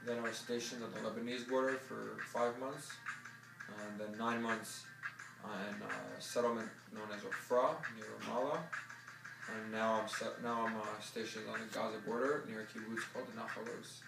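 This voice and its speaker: A man in his twenties from New York, a serving soldier, speaking in a steady, deliberate way with some ums. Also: on the low side, gravelly, echoey room, recorded from a distance, music in the background, poor audio. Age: 20s. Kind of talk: earnest interview testimony.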